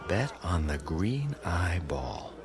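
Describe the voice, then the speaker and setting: A roguish casino cheat with a very low, slow voice. He is suave and knowing, drawing out his words in a radio drama, with music underneath. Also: big pitch swings, exaggerated voice. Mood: coaxing, sly. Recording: music playing behind the voice.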